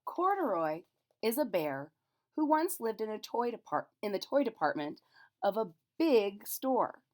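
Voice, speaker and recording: Animated woman reading a children's story. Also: mid-range voice, a little slow. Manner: simple, child-directed explanations. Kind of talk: animated children's read-aloud with explanatory asides.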